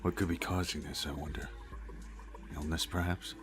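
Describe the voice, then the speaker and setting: A man acting a deep, composed gentleman. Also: steady tone. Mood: pondering. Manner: formal, measured, polite. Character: composed, courteous, analytical man trapped in a game. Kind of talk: amateur visual novel game dub acting.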